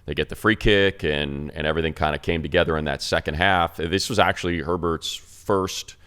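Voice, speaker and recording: Very deep-voiced American sports talk man. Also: steady tone, brisk, fairly bright tone, clean tone. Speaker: sports show co-host and radio play-by-play announcer. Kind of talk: sports talk analysis, conversational.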